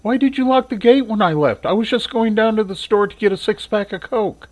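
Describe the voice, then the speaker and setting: A man voicing a shrill, demanding middle-aged mother who yells orders at her kids. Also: a little fast, close to an everyday voice. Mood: shrill, bossy. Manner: yelling, bossy.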